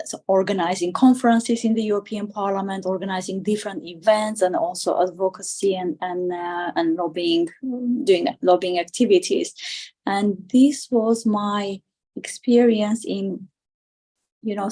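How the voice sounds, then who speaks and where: A woman, answering conversationally as an interview guest, haltingly, often repeating and rephrasing her words. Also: medium pitch, warm tone, low-quality recording. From Armenia. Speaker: interview guest, political candidate.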